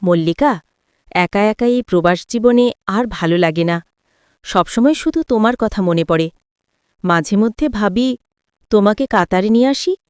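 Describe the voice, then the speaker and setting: A woman narrator as a touchy, teasing husband, clear-voiced. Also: medium pitch, a little slow, long pauses, darkish tone, no room sound, studio quality, natural voice. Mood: lonely, longing. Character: teasing, touchy young husband back from working abroad. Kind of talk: acted dialogue in an audio story.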